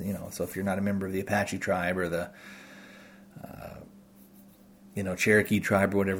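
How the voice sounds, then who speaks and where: A man's voice: lowish voice, a little mellow. An American man hosting a podcast, talking off the cuff to listeners in a rambling, tentative way as he thinks aloud. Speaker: podcast host. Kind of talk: unscripted talk to listeners.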